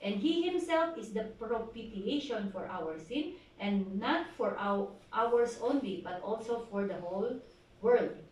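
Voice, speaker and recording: A woman pastor with a Filipino accent preaching at a prayer meeting, asking the congregation questions and reading scripture slowly. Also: on the high side, animated. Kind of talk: sermon exhortation at a prayer meeting. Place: church hall. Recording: echoey hall from far off, faint noise.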